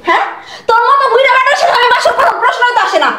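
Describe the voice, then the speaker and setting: A woman playing a furious young bride who shouts insults and demands a divorce. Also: very high-pitched, very fast, roomy sound. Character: furious young bride, sharp-tongued and scolding. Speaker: newly married bride. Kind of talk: comic domestic drama acting.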